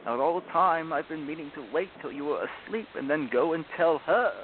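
A storybook fawn, voiced by a man, full of guilt and remorse. He confesses in a plaintive, tearful, drawn-out way with a fairly high pitch. The sound is thin and phone-like, with noise behind it. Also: close to an everyday voice. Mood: guilty confessing. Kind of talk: storybook reading in character. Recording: tinny phone-like audio with loud noise.

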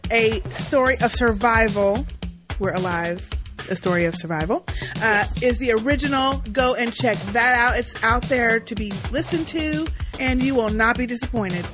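High-voiced woman hosting, music behind. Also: moderate pace. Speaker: podcast host. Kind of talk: casual, conversational interview.

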